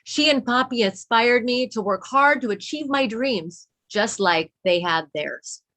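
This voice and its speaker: Woman, high voice, read-along facilitator, encouraging, reads a children's story aloud, invites repetition. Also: expressive, a little slow, fairly bright tone. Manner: encouraging, asks children to repeat. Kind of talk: reading a children's book aloud to pupils.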